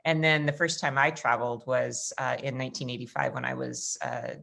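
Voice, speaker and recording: A low-pitched American woman in her forties hosts a casual chat over a video call. She rambles happily through her stories, padding them with fillers and restarts. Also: expressive, brisk, vocal fry, fairly bright tone. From United States. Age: 40s. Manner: chatty, rambling storyteller with frequent fillers. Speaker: host.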